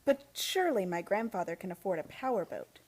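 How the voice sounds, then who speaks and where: An actress playing a frustrated young heiress in an old-time radio play, earnest and full of questions. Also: female voice, medium pitch, lively intonation, fairly quick, lightly acted. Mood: confused, exasperated. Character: frustrated, insistent young heiress seeking family secrets.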